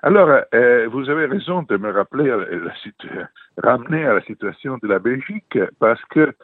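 A man's voice: mid-range voice, animated, moderate pace, no room sound. Older Italian-accented man on a phone line. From Italy. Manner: earnest, hesitant with many fillers. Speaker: political journalist, guest. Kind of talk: radio interview by phone. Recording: tinny dry phone line.

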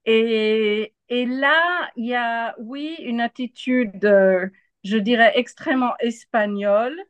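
A woman from Argentina who grew up speaking answers as an interviewed journalist in a dry, close sound. She takes her time, hesitating as she looks for words and doubling them for emphasis. Her voice sits fairly high. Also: takes it slowly, clear, resonant tone, no echo. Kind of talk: live interview analysis.

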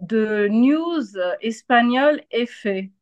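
A woman from Argentina who grew up speaking answers as an interviewed journalist in a dry, close sound. She takes her time, hesitating as she looks for words and doubling them for emphasis. Her voice sits fairly high. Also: expressive, slow, a little mellow, dry recording. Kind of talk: live interview analysis.

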